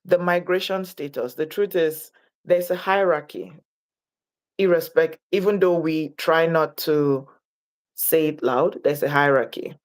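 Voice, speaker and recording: A woman from Nigeria, speaking as a guest in an interview, reflective and deliberate in her delivery. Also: deep voice. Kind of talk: interview conversation.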